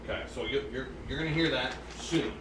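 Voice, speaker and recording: A man's voice: medium pitch, fairly quick, background noise. A pianist at a recital, telling the stories behind each piece in a conversational way with asides to his listeners. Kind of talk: informal spoken program notes between pieces. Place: indoor room with air conditioning. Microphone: phone with USB microphone, placed away from speaker. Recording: roomy and distant with faint ambience.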